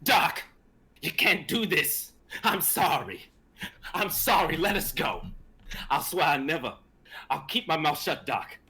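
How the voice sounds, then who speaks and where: A man playing a desperate, hot-tempered lab assistant turned thief in a live drama reading, urgent and husky. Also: fairly high pitch, animated, measured pace, sharp tone, exaggerated voice. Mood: pleading, panicked. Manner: urgent, emphatic.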